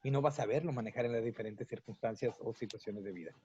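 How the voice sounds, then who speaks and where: A Mexican man, a psychologist, explaining his views at length in an interview, in a measured, lecturing way. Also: medium pitch, darkish tone, slight background noise. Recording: dull, lo-fi, dry sound.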